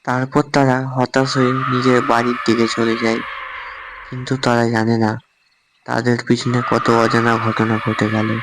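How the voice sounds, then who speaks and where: A woman's voice: extremely low pitch, level tone, moderate pace, background noise. A woman reading scripted horror story narration as the storyteller. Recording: clear music under the narration.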